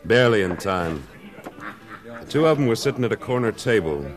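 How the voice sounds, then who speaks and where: A man's voice: on the low side, expressive, fairly quick, natural voice. An actor playing a tough, laconic American cattleman in an old-time radio western, speaking in a terse, self-assured way. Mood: grim narrating. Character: tough, laconic cattleman gunslinger, a former cavalryman. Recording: old radio recording, faint music.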